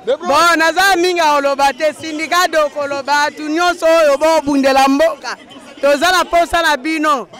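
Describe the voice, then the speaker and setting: Extremely high-pitched Congolese male protester. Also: steady tone, normal speed, a bit bright. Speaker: rally protester. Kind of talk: defiant political address. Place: outdoors at a city rally. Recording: outdoor street with crowd noise.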